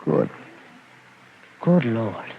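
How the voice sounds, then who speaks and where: Grave man telling of horror, middle pitch, 1940s radio acting, dull old recording. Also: animated, extremely slow, gravelly, a little hiss or hum, heavily acted. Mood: grave, shaken. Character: grave man recounting a shocking deadly encounter.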